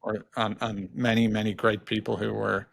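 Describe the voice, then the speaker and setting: Male interview guest, middle-aged tech executive. Thoughtful, halting delivery, narrow intonation, vocal fry. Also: lowish voice, level tone, a little hiss or hum. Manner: hesitant, halting, frequently repeating words. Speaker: podcast guest, technology executive.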